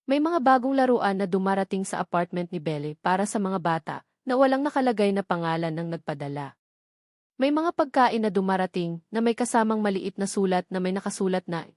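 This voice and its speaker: Give a woman narrator telling a romantic drama from a script. Her pitch sits in the middle range for a woman. The recording is very dry, with hardly any room sound. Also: a little mellow, no room sound. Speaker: story narrator.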